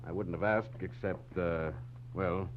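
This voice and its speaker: An actor playing a grim, brusque and anxious husband in a 1940s radio drama. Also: male voice, low-pitched, fairly even intonation, unhurried. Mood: urgent, grave. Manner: brusque, urgent. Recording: dull, faintly noisy old radio recording.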